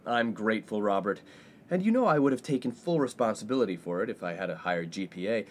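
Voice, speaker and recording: A man's voice: lowish voice, lively intonation, fast talker, a little mellow, lightly acted. A man playing a brash, self-absorbed college playboy in a comedic radio play, breezy and self-important. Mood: smooth, self-satisfied. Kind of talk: comedic 1950s-set radio play acting. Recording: faint background noise.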